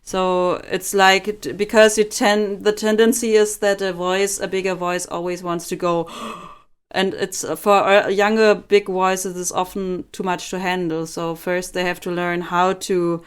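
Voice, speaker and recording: A woman co-hosting a podcast about singing, asking measured, clear questions and chatting casually. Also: fairly low pitch, little pitch movement. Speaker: podcast co-host. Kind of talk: scripted interview questions, then casual chat.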